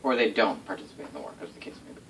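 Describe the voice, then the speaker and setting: A man recounts fantasy game lore as a game master, his pitch moving around in lively swings. He talks casually and rambles, correcting himself as he goes. He sounds a little way from the mic, with faint noise behind him. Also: rapid-fire, far from the mic. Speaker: game master recounting setting history to players. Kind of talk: informal storytelling of tabletop game lore.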